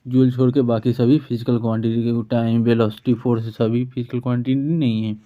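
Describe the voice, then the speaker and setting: A man's voice: mid-range voice, level tone, brisk. A man with an Indian accent giving an informal exam-prep physics lesson as a teacher, working through questions. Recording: dull, lo-fi sound.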